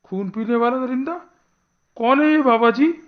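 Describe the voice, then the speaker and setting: A woman playing an earnest, anxious male village headman in an animated horror story, pleading as she speaks. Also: on the high side, normal speed, phone-quality sound, lightly acted. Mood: fearful, pleading. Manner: earnest, imploring.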